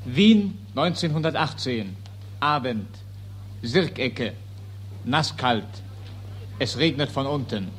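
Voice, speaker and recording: A man's voice: mid-range voice, animated, slow pace, long pauses, noisy background. A man announcing scenes in a radio drama with terse scripted stage directions.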